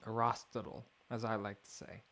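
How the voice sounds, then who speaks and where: A young man streaming alone, thinking out loud in a wry, mock-grand way. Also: on the low side, little pitch movement, moderate pace, gravelly, slight background noise. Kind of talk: rambling livestream monologue.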